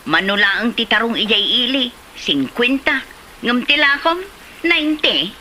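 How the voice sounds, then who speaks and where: A woman's voice: fairly high pitch, animated, unhurried, pushed and bright, slight background noise, rough recording. A woman with an Ilocano accent playing a touchy, haggling village housewife who complains indignantly in a comedy drama.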